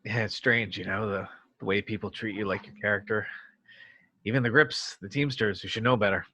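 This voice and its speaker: Man playing earnest TV space captain, low bright voice, dry close sound, halting delivery, amateur script reading. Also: steady tone, no echo. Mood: sheepish, nostalgic. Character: earnest male actor famed as heroic sci-fi captain. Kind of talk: amateur screenplay table read.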